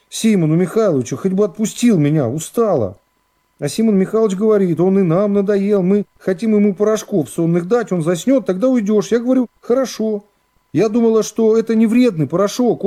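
A young woman defendant played by a man testifies in a radio reading. The voice is high and soft, with plain, artless phrasing. She sounds simple and worn down, yet defiant. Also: lightly acted. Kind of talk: dramatised literary reading.

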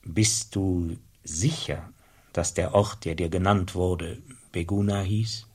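Husky, solemn, sceptical bishop. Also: male voice, low-pitched, fairly even intonation, slow, darkish tone, full character voice. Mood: measured, gracious. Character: stately, sceptical bishop, older man of authority. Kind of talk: radio drama acting.

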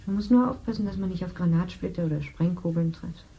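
Casting: a cool, matter-of-fact medical assistant in her thirties, mellow and lowish voice. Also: fast talker, gravelly, highs cut off. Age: 30s. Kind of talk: 1970s radio play acting.

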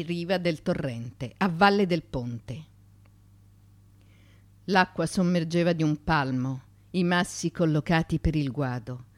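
A woman reading literary fiction as radio theatre, with intense, theatrical narration and deliberate phrasing. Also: fairly low pitch, no echo. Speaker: actress reading a novel.

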